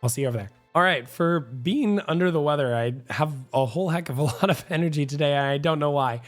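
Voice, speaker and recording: Hoarse, nasal man hosting a gaming show. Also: on the high side. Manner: upbeat, talkative, self-assured. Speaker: gaming channel host. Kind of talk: energetic gaming news commentary.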